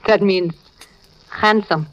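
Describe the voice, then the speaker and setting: A streetwise Cuban woman of the night played by an actress, with a medium-pitched voice and Spanish-accented broken English. She is sultry and matter-of-fact, lonely yet protective, as heard on a vintage 1940s radio drama recording. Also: takes it slowly, dull audio, lightly acted. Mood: wistful, flirtatious. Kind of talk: 1940s radio thriller acting.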